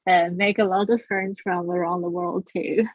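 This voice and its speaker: A woman's voice: fairly low pitch, little pitch movement, normal speed, dry recording, narrow, tinny audio. A woman from Taiwan, a school founder being interviewed, talking earnestly with frequent restarts. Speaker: guest, founder of a language school. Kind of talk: casual interview.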